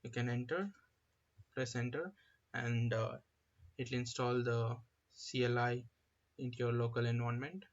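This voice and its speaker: A man presenting an informal screen-share software tutorial, explaining step by step in a halting, hesitant manner. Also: medium pitch, fairly even intonation, muffled recording.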